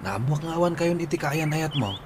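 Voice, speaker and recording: A man's voice: fairly high pitch, animated, a little fast, background noise, natural voice. A man with an Ilocano accent playing an eager suitor in a radio drama. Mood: wooing. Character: eager man courting his old sweetheart. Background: music.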